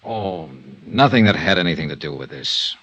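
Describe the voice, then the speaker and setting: An actor playing a tough, terse, hard-boiled insurance investigator in a vintage radio noir serial. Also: male voice, sits low, animated, moderate pace, fairly bright tone, highs cut off. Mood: weary, terse. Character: tough, grieving male freelance insurance investigator. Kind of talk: 1950s radio noir acting and narration.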